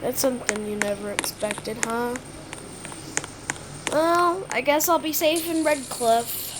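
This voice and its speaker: Woman, slightly high voice, amateur scripted roleplay, halting delivery, repeated phrases, noisy recording with insect-like ambience. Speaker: creator performing a hobby emergency alert roleplay. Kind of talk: amateur scripted roleplay with read-out emergency announcements.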